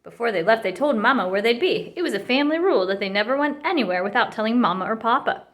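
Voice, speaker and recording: A woman reading a children's picture book aloud with expressive narration. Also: fairly high pitch, fast talker. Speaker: storybook reader.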